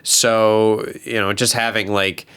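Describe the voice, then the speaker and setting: A man's voice: on the low side, flat delivery, slow, sharp tone, clear, resonant tone. A man in a casual panel chat, speaking in a rambling, conversational way. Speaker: talk show panelist.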